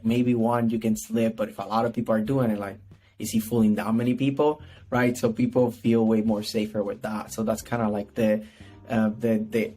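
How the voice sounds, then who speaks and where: A Puerto Rican man with a native Spanish speaker's accent talks casually as an interview guest. His voice is on the low side and quite flat in pitch. He rambles, repeats words and checks in with "right?", with music behind him. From Puerto Rico. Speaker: podcast guest, PR strategist. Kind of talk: casual conversational interview answers.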